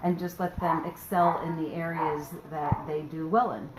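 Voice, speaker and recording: A woman's voice: deep voice, fairly even intonation, normal speed. A woman answering informal interview questions in an echoey, noisy setting. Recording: echoey room, loud background noise.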